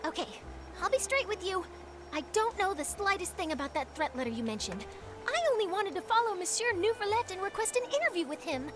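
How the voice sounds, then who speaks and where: A woman voicing a keen, persistent young journalist in a video game, speaking in an earnest, brisk way. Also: very high-pitched, animated, over music. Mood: earnest, defensive.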